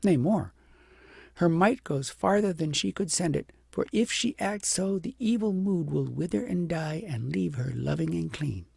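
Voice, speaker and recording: A man voicing a wise woman in an audiobook, a grave, loving and patient teacher who speaks in an earnest, measured, instructive way. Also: fairly high pitch, lively intonation, vocal fry, a little mellow, husky, no echo, studio quality, voice pushed up, heavily acted. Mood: earnest, tender. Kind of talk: audiobook character voice.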